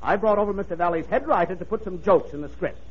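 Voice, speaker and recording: A man's voice: sits high, fast, breathy edge. A man playing an officious, pompous business manager who speaks formally in an old radio comedy. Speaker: comic actor. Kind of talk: 1940s radio comedy acting. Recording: muffled old radio recording.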